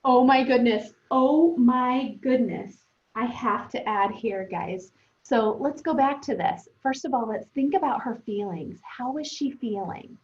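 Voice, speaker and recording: High-voiced woman teacher in an echoey room. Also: unhurried, slight background noise. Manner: conversational, expressive teacher talk addressed to young pupils. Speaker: elementary teacher. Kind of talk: read-aloud lesson for children, thinking aloud. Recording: roomy, echoing, dull lo-fi sound.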